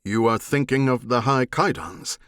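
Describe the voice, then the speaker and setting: A man's voice: mid-range voice, normal speed, a bit bright, dry recording, clean studio sound, natural voice. A man voicing a loyal, battle-hardened alien warrior escort, stern and formal, in an audiobook. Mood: earnest. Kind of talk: audiobook character dialogue.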